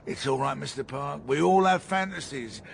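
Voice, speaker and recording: A man playing a calm police detective who questions a suspect with measured, probing insistence in a radio drama. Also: mid-range voice, vocal fry, slight background noise. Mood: calm, leading. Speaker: police interrogator.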